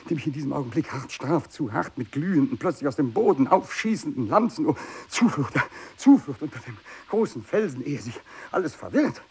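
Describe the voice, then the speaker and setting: An actor playing a tormented, bitter, fanatical renegade missionary in a radio drama monologue, brooding and intense, moving between hushed speech and anguished outbursts. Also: male voice, a little high, brisk, gravelly, few pauses, slightly rough, exaggerated voice. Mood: anguished, agitated. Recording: steady tape hiss.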